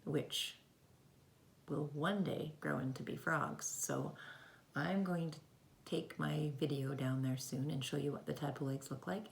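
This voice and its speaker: A woman reading a children's storybook aloud in an expressive way, talking directly to young listeners. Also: low-pitched, creaky.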